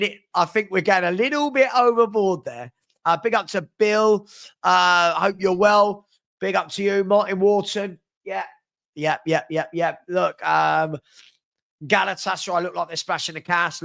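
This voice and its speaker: A young man hosting a live stream, his voice pitched fairly high for a man. He chats in an upbeat way, rattling off shout-outs to viewers while fighting a sniffly head cold. He is close to a desk mic. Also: vocal fry. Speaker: host of a live fan chat show. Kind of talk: casual live-stream chat reading out viewers' comments.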